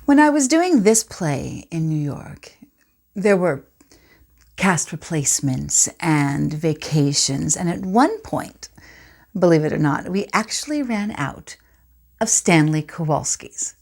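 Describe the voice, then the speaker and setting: Woman acting a poised, low-voiced stage actress reminiscing. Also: expressive, creaky, darkish tone, heavily acted. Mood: wry reminiscence. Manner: deliberate, with weighty dramatic pauses. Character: poised stage actress recalling past performances, intense and reflective. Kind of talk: dramatic theatre monologue.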